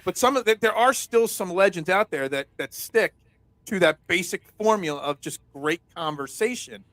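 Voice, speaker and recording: Loud philly guy hosting sports talk, high-ish bright voice, dry close sound. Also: lively intonation, moderate pace, no echo. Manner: chatty, rambling storyteller with many restarts. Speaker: host. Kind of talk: casual sports talk interview.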